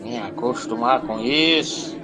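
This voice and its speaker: A man's voice: medium pitch, slow, close to an everyday voice, poor audio. A man playing a snobbish, indignant rich major in a radio soap opera, with a pompous, haughty manner. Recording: loud outdoor-like crowd din behind.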